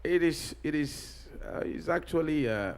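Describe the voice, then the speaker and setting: A middle-aged man from Ghana, a pastor being interviewed, answering in a halting way with many pauses and restarts. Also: a little high, animated. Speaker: pastor and guest.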